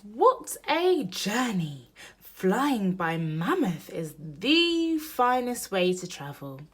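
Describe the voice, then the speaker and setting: A woman reading a children's picture book aloud in an expressive storytelling manner meant for young listeners. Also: mid-range voice, drawn-out words, breathy edge. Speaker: storybook reader.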